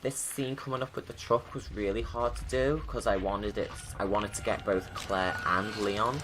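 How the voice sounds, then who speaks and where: A man's voice: fairly low pitch, steady tone, normal speed, noisy background. A man giving chatty, informal creator commentary over a film. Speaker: video creator. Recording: background film sound under commentary. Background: game movie soundtrack and ambience.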